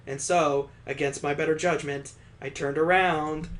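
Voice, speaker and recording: A man with a slightly high voice narrates a visual novel for a gaming playthrough. He moves between reading the prose out and tossing in wry, joking asides in a casual way. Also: steady tone, unhurried, a bit bright. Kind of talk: let's play read-aloud with ad-libbed commentary.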